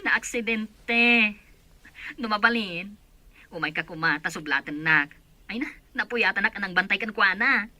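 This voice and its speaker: A woman's voice: medium pitch, unhurried, sharp tone, dry recording. A woman acting as an anxious, exclaiming friend fretting over a crisis in an Ilocano audio drama. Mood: worried, distressed.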